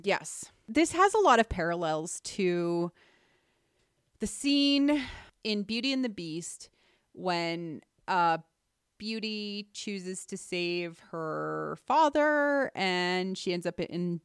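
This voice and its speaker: A woman's voice: on the low side, expressive, slow pace, clean tone, dry recording, studio quality. A woman hosting a podcast, talking through ideas analytically in an earnest, emphatic way. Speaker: podcast host.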